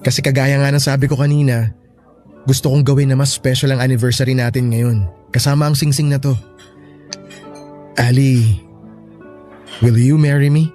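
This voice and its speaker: A man's voice: mid-range voice, fairly even intonation, moderate pace, pauses often, dark tone, close to an everyday voice. Man acting a devoted boyfriend in love drama. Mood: earnest, romantic. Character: devoted, agreeable adult boyfriend, sentimental. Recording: music bed behind the voice.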